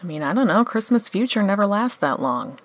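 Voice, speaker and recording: A woman's voice: medium pitch, sing-song, brisk, dry recording. A woman playing a skeptical, sarcastic reluctant helper in a comedic radio play. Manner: questioning, sarcastic.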